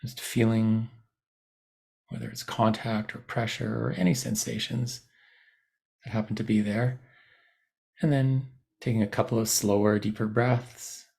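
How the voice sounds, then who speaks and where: A man guiding a body scan meditation in a soothing, unhurried manner, leaving long pauses between short phrases. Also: on the low side, fairly even intonation, gravelly, a little mellow. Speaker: meditation guide. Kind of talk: guided body scan meditation, unscripted instruction.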